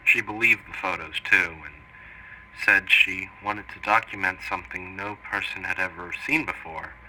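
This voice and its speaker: A man plays a sober interviewee giving testimony in a horror mock documentary. His voice is deep with a very sharp, forceful edge, and he pauses often mid-sentence. Faint noise sits behind him. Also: low-quality recording. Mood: sober, reflective. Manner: halting, deliberate, pausing mid-sentence. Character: earnest man soberly recounting an expedition to an interviewer.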